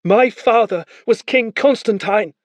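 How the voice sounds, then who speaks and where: A man's voice: unhurried, no echo. A man voicing a proud, defiant young boy who insists he is a prince. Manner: shrill, yelling.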